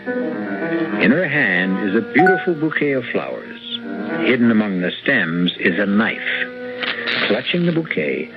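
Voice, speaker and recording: An actor as a grave storyteller, giving dramatic scripted narration for an old-time radio drama. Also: male voice, fairly low pitch, very animated, a little slow. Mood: grave, suspenseful. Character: grave storyteller of a political thriller. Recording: muffled old radio recording, music behind.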